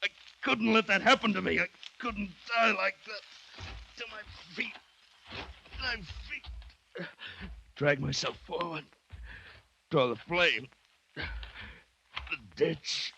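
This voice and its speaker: A noisy vintage radio recording carries an embattled, determined American plantation owner. His voice is hard and forceful, telling his own fight for survival with halting, dramatic intensity in 1940s radio style. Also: high-pitched, creaky, exaggerated voice. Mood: agonized, desperate. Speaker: radio actor. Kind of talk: 1940s American radio drama acting, first-person narration.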